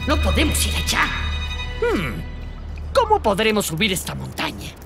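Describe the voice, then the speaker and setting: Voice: mid-range voice, huge pitch swings, normal speed, vocal fry. An actor voicing a bossy, scheming marten gang leader who taunts and threatens in a children's cartoon. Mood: bossy, urgent. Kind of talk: animated children's cartoon acting. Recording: loud music behind.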